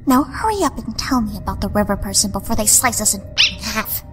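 A woman's voice: medium pitch, animated, a little fast, lightly acted. A woman voicing a spiteful, haughty demon child in a drama, contemptuous and indignant. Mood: irritated. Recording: loud music behind the voice.

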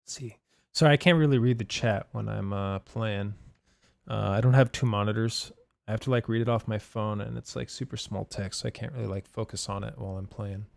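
Tired streamer guy, voice shot and gravelly, lowish and dry, swears a bit. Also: fairly even intonation, a little fast, dry recording. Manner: laid-back, swears casually, reads chat aloud. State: hoarse, losing his voice. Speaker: streamer and game caster. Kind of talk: casual live-stream chat. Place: home room at night.

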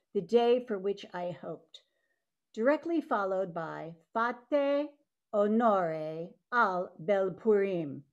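A woman's voice: medium pitch, darkish tone. An older woman presenting a scripted introduction on a video call from a room at home.

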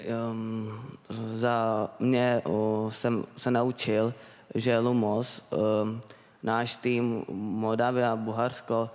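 Young czech republic guy, monotone, halting and unsure delivery, echoey hall. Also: fairly low pitch. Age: young adult. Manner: hesitant, halting, repeats words. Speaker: self-advocate and panel speaker. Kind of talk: halting spontaneous panel remarks. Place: on stage in a large conference hall. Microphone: handheld microphone. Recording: reverberant conference hall sound.

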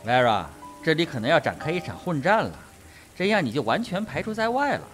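An actor playing a flippant army captain with sardonic humour in a radio drama. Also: male voice, on the high side, very animated, brisk, a bit bright, soft background music.

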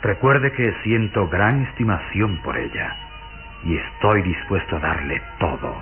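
An actor playing a mysterious, courteous count with insinuating, formal manners in a radio drama. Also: male voice, deep voice, expressive, over music. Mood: smooth, insinuating. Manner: formal, unhurried. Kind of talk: old radio drama acting. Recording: dull lo-fi old radio recording.

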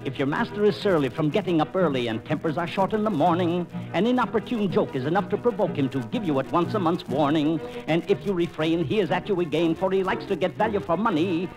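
An actor playing a witty, weary English court jester in 1940s radio operetta, with theatrical, rhythmic delivery and archaic phrasing. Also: male voice, sits high, expressive, quick pace, few pauses, music in the background, poor audio. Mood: jaunty, wry. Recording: 1940s radio transcription disc.

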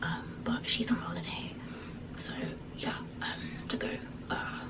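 Chatty young woman, half-whispered. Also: unhurried. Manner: chatty, filler-heavy, often whispering. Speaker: travel vlogger. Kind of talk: casual vlog chat.

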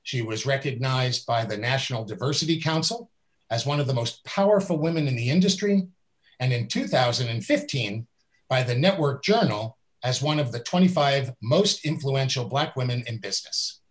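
A middle-aged man hosts an interview over a video call and reads his guest's introduction. His tone is upbeat and admiring, and his voice sits at an ordinary middle pitch. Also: expressive, normal speed. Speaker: interview host. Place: indoors with a virtual background.

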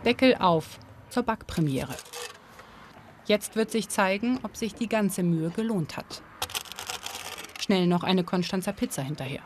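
A woman narrating a history documentary in a calm, informative and lightly playful manner, and also reporting on camera. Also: medium pitch, a little slow, pauses often, slight background noise. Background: music.

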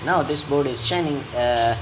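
A man giving a step-by-step hands-on repair tutorial as a technician, in halting, repetitive short phrases. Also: medium pitch. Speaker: repair technician and channel host. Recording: clear steady hum of room noise.

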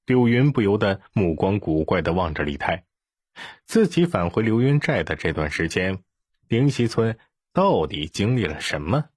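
A man's voice: fairly low pitch, sing-song, normal speed, breathy edge, no echo, studio quality. A man narrating a scripted audiobook story.

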